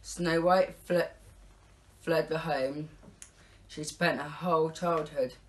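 Pantomime narrator, rhymed script, halting read with stumbles and repeated words, home recording. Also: mid-range voice, steady tone.

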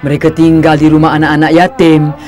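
A man's voice: a little high, monotone, fast talker, music in the background, close to an everyday voice. A man with a Singaporean Malay accent voicing a caring, moralising mother in a cartoon, speaking instructively. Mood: gently admonishing. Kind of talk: cartoon dialogue.

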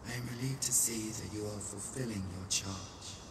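An actor playing a calm, cryptic, commanding hooded spirit guide in a fantasy game, measured and portentous, with ghostly reverb. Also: male voice, lowish voice. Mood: solemn, approving. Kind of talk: cinematic fantasy game acting, archaic speech. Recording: echoing, distant, noisy ambience.

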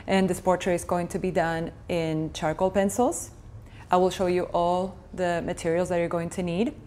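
A woman in her thirties from Argentina, Spanish her first language, with an Argentine accent, hosting a casual drawing tutorial at home in a chatty, gentle way, sometimes searching for words. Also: on the low side, level tone, moderate pace, slight background noise. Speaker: art tutorial host. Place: indoor room at home.

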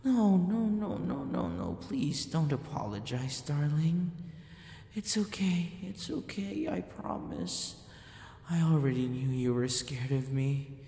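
Guy doing a soft possessive yandere boyfriend for asmr, whispery and soothing, pitch swoops around, roomy reverb. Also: sing-song, slow pace, exaggerated voice. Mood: soothing, concerned. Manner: soft, breathy, often dropping into a whisper. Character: gentle, obsessive yandere male captor, soothing and possessive. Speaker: audio roleplay voice actor. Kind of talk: ASMR boyfriend roleplay acting. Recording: roomy reverb with faint rumbling noise.

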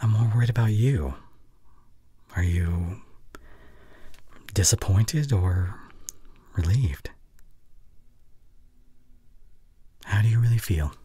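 A young man playing an anxious, caring boyfriend in an intimate ASMR roleplay, speaking softly and hesitantly close to the mic. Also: lowish voice, takes it slowly, pauses often, heavily acted. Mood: soothing, tender. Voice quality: soft, breathy. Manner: gentle, hesitant, murmuring reassurance. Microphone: close microphone.

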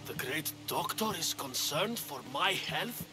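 High-voiced, fearful older farmer. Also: male voice, expressive, unhurried. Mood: agitated, suspicious. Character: wary older male former priest turned farmer, weary and fearful. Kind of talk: video game voice acting. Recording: music under the voice. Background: background music.

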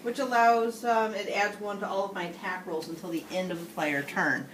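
A woman's voice: mid-range voice, steady tone, normal speed, echoey room, a little hiss or hum, low-quality recording. A middle-aged woman casually narrating her moves in a card game, recorded across a table in a small room. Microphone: handheld camera across the table.

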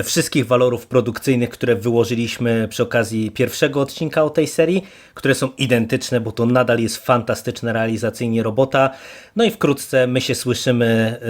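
A man hosting a podcast, discussing and reviewing in a casual, hesitant way with many repeated words. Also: medium pitch. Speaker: podcast host.

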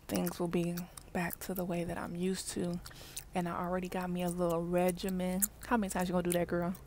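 Low-voiced woman chatting in her car. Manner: casual chatty, slips into soft whispers. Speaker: ASMR vlogger. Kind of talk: ASMR gum-chewing car chat. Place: parked car. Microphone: wired earbud mic held near mouth. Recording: faint background noise, mouth clicks.

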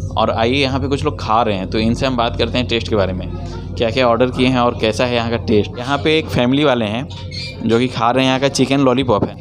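A young man from Bihar chats with diners in a small restaurant, asking short, friendly questions into a handheld mic. His voice sits at an ordinary pitch. Clear music plays behind him. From Bihar, India. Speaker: food vlogger. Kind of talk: casual restaurant interviews.

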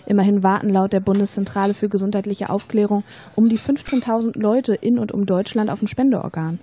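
Mellow young woman student, explaining , as acted. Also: mid-range voice, fairly even intonation, slight background noise, lightly acted. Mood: matter-of-fact, explanatory. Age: young adult. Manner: explanatory, measured, rehearsed. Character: informed, persuasive young female student with donor card. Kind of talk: scripted educational radio-play dialogue.

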